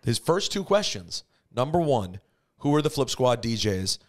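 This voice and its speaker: A man co-hosting a podcast interview, jumping in with eager, quick questions in a small studio on a close mic. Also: medium pitch, big pitch swings. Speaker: podcast co-host.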